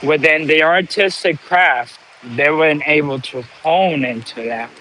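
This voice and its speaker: Slow, preachy man. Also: on the high side, bright, forceful tone, a little hiss or hum, poor audio. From Dominican Republic. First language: Spanish. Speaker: livestream host. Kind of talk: motivational live-stream talk.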